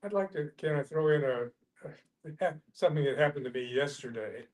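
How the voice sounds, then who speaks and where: A man telling a casual personal anecdote in a halting, rambling, folksy way during a group discussion. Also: a little high, expressive, darkish tone. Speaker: discussion participant.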